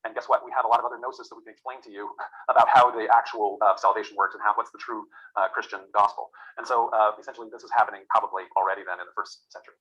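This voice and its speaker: A man lectures at a rapid clip, his voice bright and forward. He rambles, restarts and fills gaps with "uh" and "um". The recording sounds dull and lo-fi. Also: lowish voice, fairly even intonation. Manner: rambling, many fillers and restarts. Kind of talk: classroom lecture.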